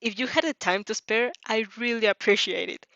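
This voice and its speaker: A woman's voice: fairly high pitch, normal speed, fairly bright tone, no echo. A dry, lo-fi recording of a woman reading as a banished young vampire girl. She is friendly and naive, explaining herself in an earnest, chatty way, as in a storybook. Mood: friendly, curious.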